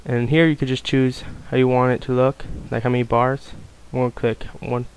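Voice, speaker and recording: A man giving a casual, unscripted software tutorial, explaining things informally step by step. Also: medium pitch, little pitch movement, fairly quick, slight background noise, poor audio. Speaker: tutorial presenter.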